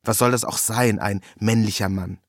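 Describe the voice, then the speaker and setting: A man's voice: lowish voice, steady tone, normal speed, dry recording, studio quality. A man reading a scripted first-person story in a wry, ironic manner. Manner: wry, ironic delivery with acted quotes. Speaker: narrator.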